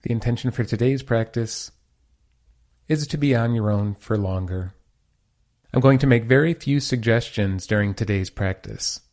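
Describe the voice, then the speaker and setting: A man leading a guided meditation as the teacher, giving calm, measured instructions. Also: medium pitch.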